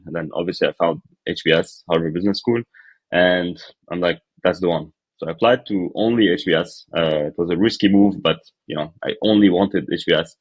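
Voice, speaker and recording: A man's voice: low voice, no room sound, dull audio. A young man from Lebanon, a podcast guest telling his life story in a casual, hesitant way with many fillers and restarts.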